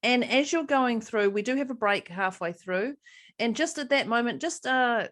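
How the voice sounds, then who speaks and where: A woman's voice: a little high, expressive, normal speed, a bit bright. A woman with a New Zealand accent co-hosting a podcast in casual chat, bubbly and laughing often. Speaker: podcast co-host.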